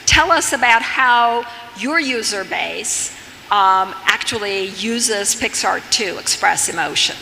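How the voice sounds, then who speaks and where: A woman's voice: mid-range voice, expressive, takes it slowly, creaky, sharp tone. A woman in her fifties whose first language is Armenian, moderating a conference panel with polite questions. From Armenian family. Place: large conference hall. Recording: hall reverb, faint noise.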